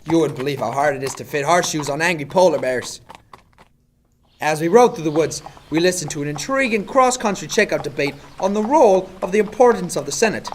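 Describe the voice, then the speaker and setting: A man plays an earnest policeman narrating his own adventure in a silly radio play. The voice is fairly high, mock-serious and very courteous, with faint noise behind. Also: expressive, brisk, natural voice. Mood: mock-serious narration.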